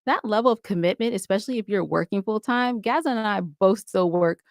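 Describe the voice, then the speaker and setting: A woman's voice: medium pitch, a little fast, no echo, studio quality. A woman talking casually as an interview guest, emphatic and given to repeating points for stress. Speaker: interview guest, audio drama podcaster.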